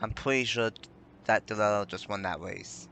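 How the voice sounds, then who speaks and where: A man's voice: on the low side, moderate pace, vocal fry, fairly bright tone, a little hiss or hum. A man giving rambling, self-correcting play-by-play commentary on a simulated stock car race. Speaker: sim racing commentator.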